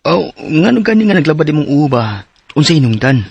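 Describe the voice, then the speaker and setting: A man's voice: a little high, very animated, fairly quick, vocal fry, slightly rough, dry recording, heavily acted. A man with a Cebuano accent playing a practical young schoolmate in a radio drama. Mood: practical, concerned.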